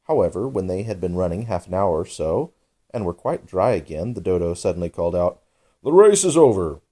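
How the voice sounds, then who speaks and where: A man's voice: low-pitched, brisk, dark tone. A man narrating a children's bedtime story as a storybook reader.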